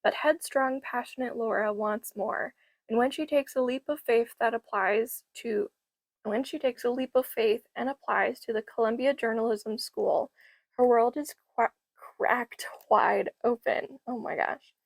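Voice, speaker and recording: A young woman, a bit hoarse from losing her voice, chatting enthusiastically about library books. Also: on the high side, a little slow, dry recording. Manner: enthusiastic, gushing. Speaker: book vlogger. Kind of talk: casual book haul chat.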